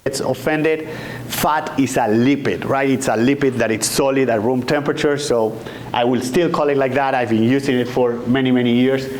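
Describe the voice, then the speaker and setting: A man's voice: mid-range voice, normal speed, a little hiss or hum. A man in his forties with an Argentinian accent, a doctor giving an informal patient education lecture into a handheld mic, conversational and often checking in with his audience. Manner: relaxed, conversational, checks in with "okay?" and "right?". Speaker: doctor giving a health talk. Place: conference room, indoors. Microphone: handheld microphone.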